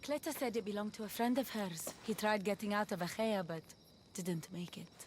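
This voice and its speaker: A woman's voice: mid-range voice, normal speed, slight background noise, natural voice. A woman playing a watchful, world-weary young fugitive from ancient Greece in a video game cinematic. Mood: resigned.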